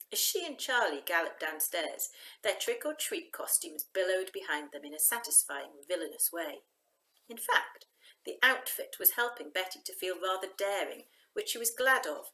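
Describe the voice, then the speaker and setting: A woman reading a children's novel aloud in an expressive, emphatic storytelling style. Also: fairly low pitch, low-quality recording.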